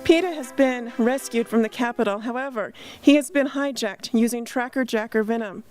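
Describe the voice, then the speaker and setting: A woman's voice: on the high side, moderate pace, a little mellow. A woman narrating a scripted recap for a radio drama. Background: music.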